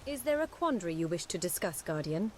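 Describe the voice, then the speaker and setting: A woman voicing a courteous, earnest young mage companion in a fantasy game, speaking formally and gently. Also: on the low side, sing-song, slight background noise. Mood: thoughtful, gentle. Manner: formal, eloquent, gentle, measured. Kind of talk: scripted fantasy game dialogue.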